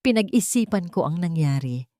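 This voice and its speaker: Woman narrator as a guarded Filipino businesswoman and mother, formal and restrained, lowish voice, dry audio drama sound. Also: animated, normal speed, dark tone, no echo, natural voice. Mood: controlled, reluctant. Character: formal, controlled, guarded female executive and mother.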